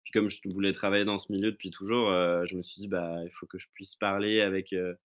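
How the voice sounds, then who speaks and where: A man's voice: low voice, level tone, fairly quick. A man chatting casually and telling personal anecdotes as a podcast guest, often restarting and searching for his words. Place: remote recording from own location.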